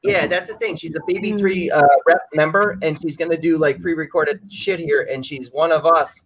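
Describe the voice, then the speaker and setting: A man's voice: a little high, steady tone, moderate pace, a bit bright, poor audio. A man hosting a casual talk show, rambling with restarts and hyping up his guests. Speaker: show host. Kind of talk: casual chat.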